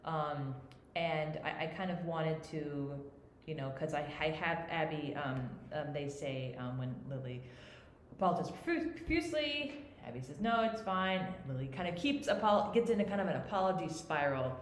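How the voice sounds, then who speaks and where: A woman speaking as a writer in an unscripted panel discussion, halting and often restarting as she searches for words. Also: low voice, very animated. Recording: echoey room, faint background noise.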